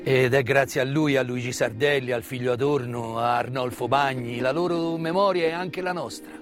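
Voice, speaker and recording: A man delivers a theatrical narrative monologue as a stage storyteller, solemn and dramatic, with repeated words and weighty pauses. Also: mid-range voice, music in the background. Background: live musical accompaniment.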